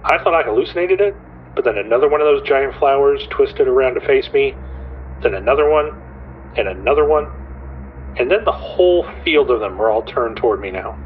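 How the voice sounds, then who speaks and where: A man's voice: medium pitch, animated, a little fast, a little hiss or hum. Tinny phone-line actor as a rattled male caller. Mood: uneasy awe. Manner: folksy, insistent, asks rhetorical questions. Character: rattled, earnest male radio caller telling of menacing sunflowers. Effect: phone-call filter. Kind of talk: dramatic eerie storytelling on a radio call-in.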